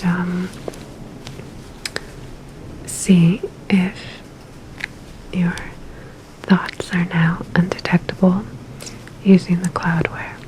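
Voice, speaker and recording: A woman playing a calm, reassuring underground hacker in a cyberpunk ASMR roleplay, speaking softly with gentle, hesitant pauses. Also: on the low side, background noise. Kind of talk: ASMR roleplay, personal attention.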